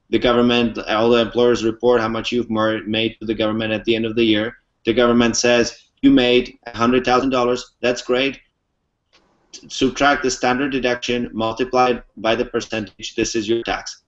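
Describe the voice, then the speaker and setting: A young man from the Czech Republic, an interview guest, explaining things fluently step by step with many "um"s. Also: mid-range voice. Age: young adult. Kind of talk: casual explanatory talk. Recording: muffled lo-fi recording.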